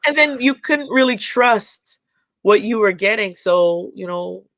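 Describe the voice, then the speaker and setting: A woman guest in a casual podcast conversation, speaking in a thoughtful, halting way with frequent fillers and restarts. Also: mid-range voice, lively intonation, a bit bright, no echo.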